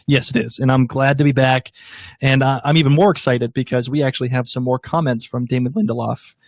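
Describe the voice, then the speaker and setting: A man's voice: medium pitch, fairly even intonation, a little fast, no room sound, studio quality. A man co-hosting a TV recap podcast, enthusiastic and rambling, laughing easily. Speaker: podcast co-host. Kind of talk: casual TV recap chat.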